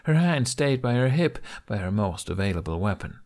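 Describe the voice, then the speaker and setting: A man's voice: on the low side, sing-song, normal speed, darkish tone. A man reading a scripted story aloud as an audiobook narrator.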